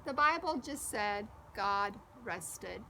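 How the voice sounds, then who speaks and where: A woman's voice: mid-range voice, animated, takes it slowly, sharp tone, a little hiss or hum, exaggerated voice. A woman voicing a patient, playful girl who explains things to her younger cousin in a children's storybook. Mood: patient, explaining.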